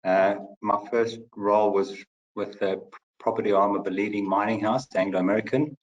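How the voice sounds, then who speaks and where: A man's voice: on the low side, fairly even intonation, normal speed, muffled recording. A middle-aged man from South Africa with a South African accent, speaking as a guest on a webinar panel, hesitant with many fillers. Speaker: panel guest, company CFO.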